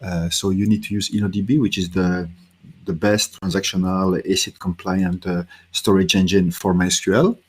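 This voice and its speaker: A man's voice: sits low, little pitch movement, moderate pace, husky. A man from Belgium, a native French speaker with a French-speaking Belgian accent, giving a technical conference talk with many fillers and restarts.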